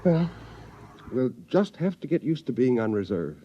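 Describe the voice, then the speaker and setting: An American man playing a frustrated, out-of-work bassoonist husband who also narrates, in a theatrical 1950s radio drama style. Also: very animated, moderate pace, slight background noise, lightly acted. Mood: gloomy. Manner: exasperated, indignant, theatrical. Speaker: lead actor and first-person narrator. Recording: muffled 1950s radio broadcast, music behind.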